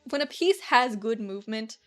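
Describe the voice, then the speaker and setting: A woman's voice: a little high, expressive, moderate pace, faint music behind. A woman streaming while she animates, chatting in an easygoing, giggly way and often trailing off.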